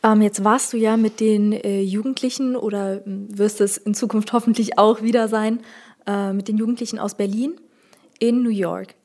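A woman's voice: medium pitch, fairly even intonation, moderate pace, a little mellow. A woman interviewer who asks her questions hesitantly, with many filler sounds.

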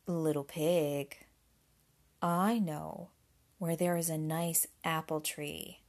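A big bad wolf from a nursery tale , as a woman acts him out. He is low and slow, stretching his words in a looming, sly, coaxing way. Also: fairly even intonation, darkish tone. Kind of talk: children's storybook character voice.

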